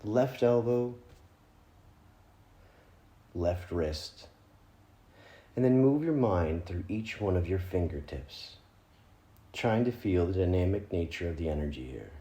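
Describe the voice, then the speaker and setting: A man leading a guided meditation, giving gentle, unhurried instructions in short phrases with pauses between them. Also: sits low, animated. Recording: roomy, echoing room sound.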